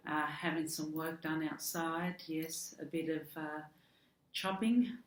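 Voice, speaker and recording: A woman with an Australian accent who is losing her voice, giving a chatty tarot card reading with soft laughs and fillers. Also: lowish voice. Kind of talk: casual direct-to-camera tarot reading. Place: home room with garden work outside. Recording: echoey room, faint outdoor garden work noise.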